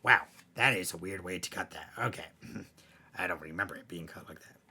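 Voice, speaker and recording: Deep, gravelly man reading scary stories. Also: fairly quick, bright, forceful tone, a little hiss or hum. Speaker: storyteller, host. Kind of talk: dramatic scary bedtime story narration.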